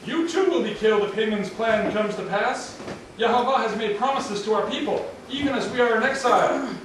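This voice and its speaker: Guy doing solemn pleading uncle in a puppet show, high voice, mic far off in echoey room. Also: a little fast, fairly bright tone. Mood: urgent, solemn. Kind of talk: amateur puppet show acting. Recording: echoey room, distant mic, faint noise.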